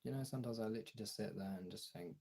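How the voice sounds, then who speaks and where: Low, gravelly young man chatting candidly. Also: dark tone. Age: young adult. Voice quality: gravelly, husky. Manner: halting, frank, swears casually. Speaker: streamer and voice actor chatting to followers. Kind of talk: casual candid chat.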